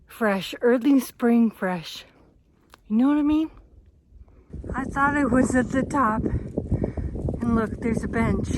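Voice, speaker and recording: A woman's voice: a little high, slow pace, long pauses, noisy background. A woman vlogging outdoors on a mountain trail, narrating her hike in an upbeat, chatty way into a handheld camera. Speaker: thru-hiker vlogger. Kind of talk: casual vlog narration.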